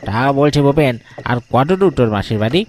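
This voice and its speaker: A man's voice: medium pitch, lively intonation, moderate pace, a little mellow, lightly acted. A man playing a friendly, easygoing village man in a cartoon, speaking casually and familiarly. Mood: contented. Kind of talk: cartoon dialogue.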